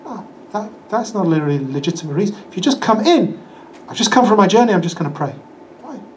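Older man, lecturing, handheld mic, faint hiss, slightly high voice. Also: very animated, quick pace. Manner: conversational, acts out excuses in quoted voices. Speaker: religious teacher. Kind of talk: lecture with audience questions. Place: small hall with projector screen. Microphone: handheld microphone.

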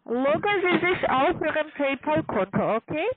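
A woman with a foreign accent speaking halting, non-native German on the phone, insistently repeating instructions as a call centre agent. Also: high-pitched, sing-song, no room sound. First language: not German. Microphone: telephone. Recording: tinny, narrow phone line.

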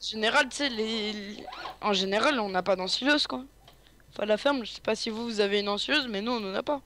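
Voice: mid-range voice, quick pace, pushed and bright. A guest player chatting casually during a co-op farming game, rambling and playful in tone. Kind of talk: casual co-op gameplay chat.

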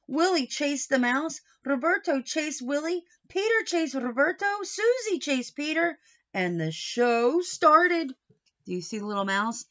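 A middle-aged woman reading a children's picture book aloud expressively, pausing for questioning asides to young listeners. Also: high-pitched, sharp tone, dull audio. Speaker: storybook reader. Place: small wood-panelled room indoors.

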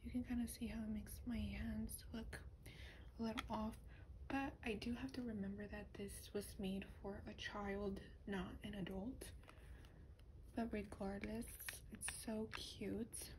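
A woman speaking softly and breathily, often whispering, in a gentle, unhurried ASMR show-and-tell. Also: mid-range voice, level tone. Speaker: doll collector showing her collection. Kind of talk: soft-spoken ASMR product show-and-tell. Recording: noisy lo-fi ASMR recording.

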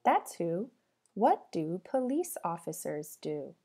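Female teacher for children's read-aloud: mellow tone, lively sing-song intonation, addresses kids directly with questions. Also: on the low side, slow, breathy edge. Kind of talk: interactive storybook read-aloud for young children.

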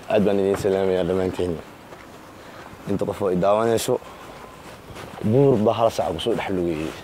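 A young man in his twenties from Somalia, speaking halting English with a Somali accent in a street interview, switching to quick Somali. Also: on the low side, warm tone, a little hiss or hum. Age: 20s. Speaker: musician guest. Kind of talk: street interview, casual chat. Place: city street outdoors.

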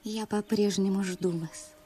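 A woman's voice: medium pitch, steady tone, measured pace, mellow, natural voice. A woman playing a genteel, lonely, devoted young woman in a dubbed film drama, speaking formally and earnestly. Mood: sorrowful pleading. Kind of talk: dramatic film dub, dialogue and letter narration. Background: film music.

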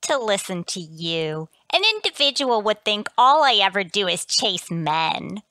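A woman as a bright, huffy tavern gal. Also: mid-range voice, expressive, measured pace, no room sound. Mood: huffy, haughty. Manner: haughty, indignant, snappy comebacks. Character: man-hungry, easily offended, sharp-tongued woman at a tavern. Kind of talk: 1940s radio comedy acting.

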